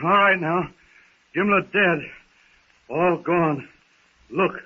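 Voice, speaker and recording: An actor playing a primitive, loyal ape-man hero who speaks in halting, clipped broken phrases, in 1930s radio style. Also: sits high. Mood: matter-of-fact. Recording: muffled, scratchy 1930s radio recording.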